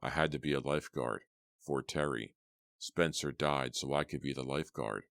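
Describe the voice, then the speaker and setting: A man doing a soft-spoken, calm young killer. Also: very deep voice, creaky, dry recording, studio quality, exaggerated voice. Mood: cold, soft. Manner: soft, slow, cold.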